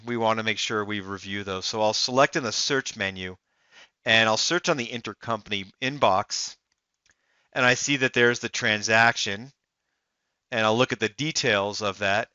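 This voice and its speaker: A man narrating a software demo as a voice-over, explaining it step by step in the first person. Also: on the low side, clear, resonant tone, no room sound, dull audio. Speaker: software demonstrator.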